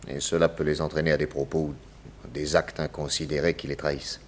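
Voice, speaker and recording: Very deep-voiced methodical detective. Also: male voice, level tone. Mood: assured, analytical. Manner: deliberate, methodical, courteous. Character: calm, authoritative male private detective questioning witnesses. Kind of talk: 1960s French radio drama acting.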